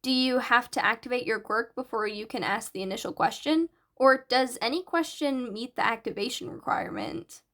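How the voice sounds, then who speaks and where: An eager, bright teenage boy, performed by a woman in an audio story. His voice sits fairly high, and he explains things in an earnest, analytical way. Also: moderate pace, few pauses, natural voice. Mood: matter-of-fact. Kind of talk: audiobook character dialogue.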